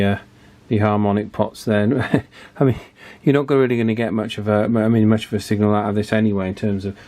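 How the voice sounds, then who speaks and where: A man's voice: medium pitch, background noise. A man talking informally and thinking aloud as he gives a casual, hands-on technical commentary. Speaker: electronics hobbyist presenting a repair video.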